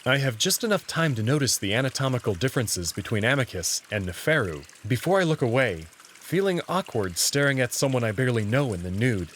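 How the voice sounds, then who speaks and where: A man reads first-person story narration for a visual novel. His mid-pitched voice moves expressively, and there is a faint sound of running water behind it. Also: a bit bright, a little hiss or hum.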